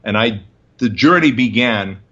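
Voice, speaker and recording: Bright, emphatic man in his forties. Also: mid-range voice, lively intonation, unhurried, lo-fi sound. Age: 40s. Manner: emphatic, punchy short phrases. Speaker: interview guest, entrepreneur. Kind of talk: motivational storytelling interview.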